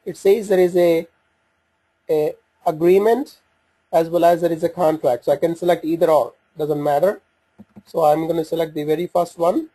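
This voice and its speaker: A man with a slightly husky voice giving an unscripted software walkthrough, hesitant and thinking aloud as he goes. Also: on the high side, steady tone, moderate pace, low-quality recording. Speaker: software demonstrator. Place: voice-over on a screen recording.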